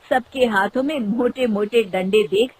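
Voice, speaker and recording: A woman's voice: a little high, unhurried, breathy edge. A woman reading scripted narration for a moral storybook cartoon.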